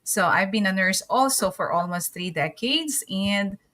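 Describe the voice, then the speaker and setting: A middle-aged Filipino woman introduces herself on a panel. Her voice is bright and forward. It sits at a middle pitch. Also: animated, a little slow. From Philippines. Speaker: panelist, nurse. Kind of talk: self-introduction on an online panel.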